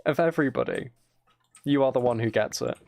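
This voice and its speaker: A man's voice: mid-range voice, brisk, darkish tone, a little hiss or hum. A man chatting casually and teasingly to his viewers while playing a game on a livestream.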